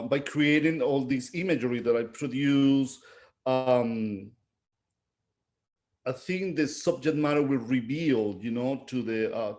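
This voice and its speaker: A man's voice: medium pitch, darkish tone. A middle-aged man from Venezuela with a Venezuelan Spanish accent, speaking reflectively as an artist in an interview and often searching for his words. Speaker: visual artist being interviewed. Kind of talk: unscripted interview talk, reflective.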